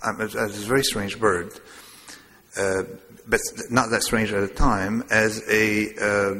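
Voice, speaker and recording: A man lectures in a rambling, self-amused way. His voice sits fairly low but sounds sharp and forceful. A long reverb trails his words around a big lecture hall. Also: flat delivery, a little slow. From Lebanon. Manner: discursive, digressive, self-amused. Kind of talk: public lecture.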